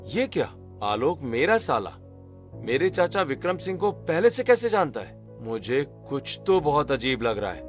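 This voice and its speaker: A man's voice: fairly high pitch, very animated, unhurried, soft background music. A man playing an earnest young rich son-in-law in a dramatic, emphatic cartoon story style. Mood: suspicious. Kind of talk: Hindi cartoon story acting and narration.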